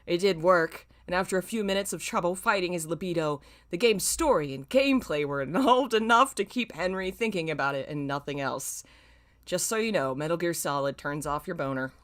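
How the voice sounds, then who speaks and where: A woman's voice: fairly low pitch, brisk, a bit bright. A woman hosting an online reading of fan fiction, narrating dramatically and breaking off with casual, self-mocking comments. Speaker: YouTube host reading fan fiction aloud.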